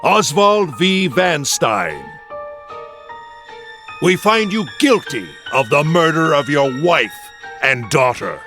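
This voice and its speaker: An actor playing a stern, formal judge in a game, proclaiming in a measured, ceremonial way. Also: male voice, on the high side, very animated, music in the background, full character voice. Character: stern, formal male judge passing sentence. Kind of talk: dramatic game dialogue.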